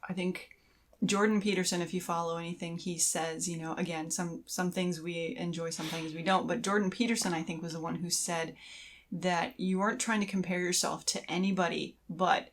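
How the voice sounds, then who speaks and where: A woman's voice: on the low side, fairly even intonation, moderate pace. A woman co-hosting a podcast in casual chat, speaking in a reflective, encouraging way. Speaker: podcast co-host.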